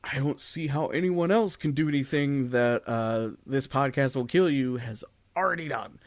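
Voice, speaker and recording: A man chats casually as a podcast co-host. His voice sits at an average male pitch, and the sound is dry, with no room around it. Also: moderate pace, no room sound.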